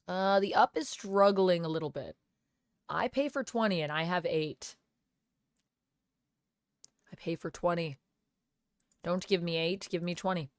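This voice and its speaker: Woman, live streamer, gravelly smoky voice, sick with a cold, chatty, lowish pitch. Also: fairly bright tone, dry recording, studio quality. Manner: chatty, rambling, thanks viewers by name. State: under the weather with a cold. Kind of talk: casual live-stream chat, reading viewer comments. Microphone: gaming headset.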